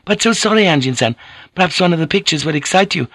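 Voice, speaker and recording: A gentle woman of a historical storybook, acted out by a male narrator, speaks in a raised, lilting voice. She is deferential and earnest, apologizing politely as she coaxes, in a close, dry recording. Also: a little high, lively intonation, fast, no room sound, voice pushed up. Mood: eager, coaxing. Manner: polite, apologetic, careful foreign-sounding English. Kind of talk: audiobook character voice.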